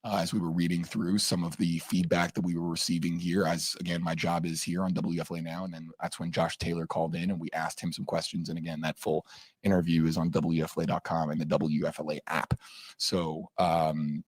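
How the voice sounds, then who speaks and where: Hoarse guy anchoring a live news stream, deep voice, stumbles along, very dry sound. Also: little pitch movement, no room sound. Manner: halting, repeats words. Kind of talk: informal live news coverage.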